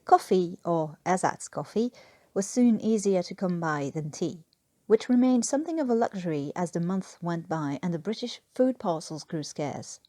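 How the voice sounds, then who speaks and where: A woman reading a scripted story aloud as an expressive narrator. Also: lowish voice, normal speed, no echo. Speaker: fan fiction narrator.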